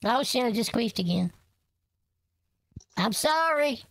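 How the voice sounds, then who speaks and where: A woman voicing a crude, loud old grandma who hurls vulgar insults on a prank call. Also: on the high side, animated, slow, dry recording, heavily acted. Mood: bawdy. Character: crude foul-mouthed brash old grandma, quick to anger. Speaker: prank caller baiting a scammer.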